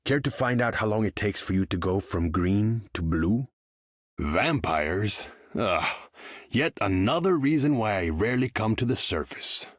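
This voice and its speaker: A man's voice: on the low side, expressive, measured pace, no echo, studio quality. An actor playing a proud, imperious sea king in a video game, speaking in a grand, formal way. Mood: proud, scornful. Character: proud, imperious undersea king, haughty and vengeful. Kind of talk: video game character voice lines.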